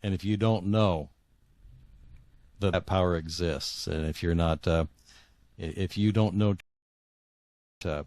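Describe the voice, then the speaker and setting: Deep-voiced man in a dry recording. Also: fairly even intonation, measured pace, pauses often, darkish tone, dry recording. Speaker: author being interviewed. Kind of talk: conversational interview answers.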